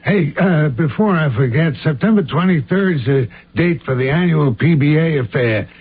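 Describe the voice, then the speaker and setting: An actor playing a folksy, cynical police inspector in a radio drama, chummy, slangy and offhand. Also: male voice, fairly high pitch, expressive, normal speed, breathy edge. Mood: chummy, breezy. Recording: muffled lo-fi radio drama recording.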